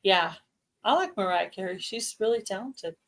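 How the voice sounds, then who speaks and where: A woman's voice: mid-range voice, animated, moderate pace. A married woman chatting casually on a livestream, friendly and agreeable. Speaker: livestream co-host. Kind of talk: casual chat.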